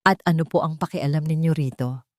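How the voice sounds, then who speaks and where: A woman's voice: sits low, a little fast, dry recording, clean studio sound, close to an everyday voice. A woman voicing a humble, wary older woman, a poor former seamstress, polite and unsure in an audio drama. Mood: confused, wary.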